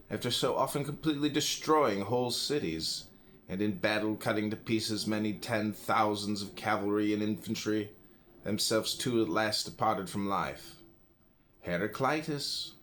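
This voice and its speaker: A man reading a philosophy book aloud in a solemn, deliberate way, phrase by phrase with pauses. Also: on the low side. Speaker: audiobook reader.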